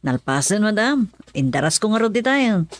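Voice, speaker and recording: A woman's voice: mid-range voice, big pitch swings, unhurried, no echo. A woman with a Filipino accent acting a dutiful, deferential driver in a radio drama.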